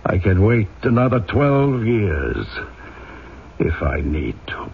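Relentless inspector, dramatically swooping voice. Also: male voice, on the low side, sing-song, gravelly, music in the background, full character voice. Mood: cold suspicion. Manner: deliberate, insinuating. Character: relentless, suspicious male police inspector, coldly patient. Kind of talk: radio drama acting. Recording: dull, noisy old radio recording.

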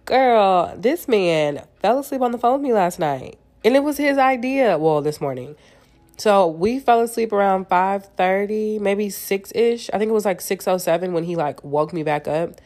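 A woman's voice: medium pitch, expressive, moderate pace, a little mellow. A young woman hosting a casual solo podcast, sounding congested and nasal from a cold, chatting candidly and swearing freely. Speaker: podcast host.

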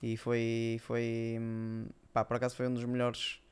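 A man with a European Portuguese accent chats in a clear, smooth voice that stays very even in pitch. He reminisces casually, feeling his way through loose, hesitant phrases. He comes across as a guest on a podcast. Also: unhurried. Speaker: podcast guest, former esports player.

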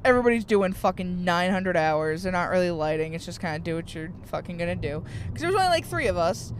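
A man rants in a loose, profanity-laced way, swinging into animated riffs between laughs. He is recorded inside a moving car, with a faint rumble of road noise under his voice. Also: fast talker. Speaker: comedian guest. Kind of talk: casual podcast banter.

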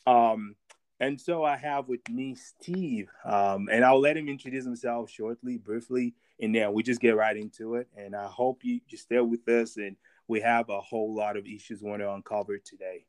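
A young man hosting a casual podcast, talking in a relaxed, rambling way with lots of fillers and restarts. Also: mid-range voice, normal speed. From immigrant to America. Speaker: podcast host.

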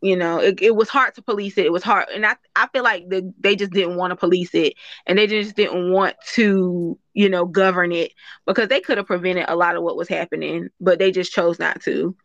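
A woman's voice: fairly low pitch, steady tone, fairly quick, a bit bright, dry recording, dull audio. A woman joining a podcast as guest co-host, chatting casually, laughing and restarting her sentences. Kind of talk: casual chat.

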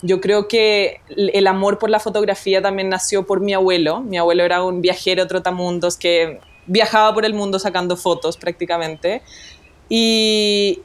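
A woman from Colombia, a guest photographer being interviewed, telling her story in a reflective way and restarting her sentences often. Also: medium pitch. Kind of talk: conversational interview.

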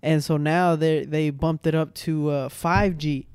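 A young man hosting a home podcast on a boom arm mic, chatting casually and rambling with restarts and slang. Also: steady tone, unhurried, a little mellow, smooth, no echo, clean studio sound. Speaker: podcast host. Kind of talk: casual chat.

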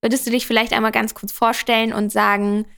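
A woman's voice: a little high, little pitch movement, fairly quick, gravelly, a bit bright. A woman hosting a conversational podcast interview, introducing and questioning her guest.

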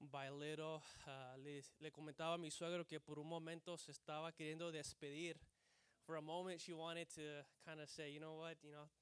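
A man's voice: fairly high pitch, a bit bright, smooth, dry recording. A man preaching a sermon at a church service, translating himself between Spanish and English phrase by phrase and often saying amen. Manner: switches between Spanish and English, frequent amens.

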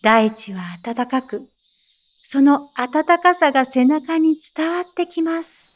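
A woman playing a calm meditation teacher in an audio drama, giving measured, gentle instructions and reassurance. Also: high-pitched, soft background music. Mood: soothing, reassuring. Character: soothing female teacher leading breathing meditation, insistently calm amid menace.

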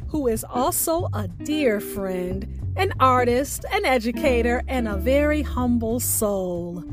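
A woman radio host reading a scripted outro with deliberate, articulate phrasing. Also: high-pitched. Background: music.

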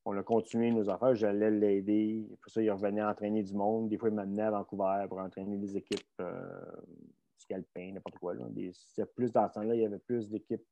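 A middle-aged man with a Québécois accent talks in a relaxed, rambling way, full of fillers and restarts, and speaks bluntly. His voice sits fairly low, with a gravelly, mellow tone. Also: fairly quick, muffled recording. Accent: Québécois French. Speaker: strength coach guest. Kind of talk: casual conversational storytelling.

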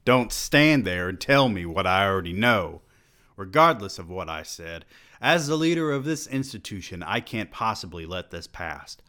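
A man acts out a domineering older college president who barks questions and scolds with contempt. The voice is deep and booming, with a slow, heavy delivery. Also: lively intonation, a bit bright, full character voice. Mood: scolding.

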